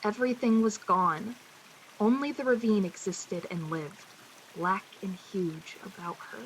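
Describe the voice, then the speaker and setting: A woman playing the narrator of a suspense radio play, speaking in a measured, dramatic storytelling style with a slightly husky voice. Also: medium pitch, a little hiss or hum, lightly acted, poor audio. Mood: tense, hushed.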